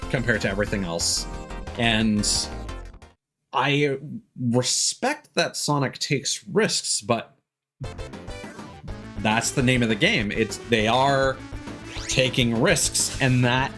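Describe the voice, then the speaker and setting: A man with a mid-pitched voice talks like a game streamer over clearly audible music. He rambles through his opinions casually, pausing and picking up again, then leaning hard on certain words. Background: music behind the voice.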